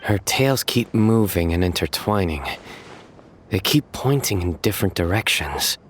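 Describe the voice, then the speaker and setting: A man voicing a calm, stern young male companion who talks in a measured, firm way. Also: lowish voice, background noise.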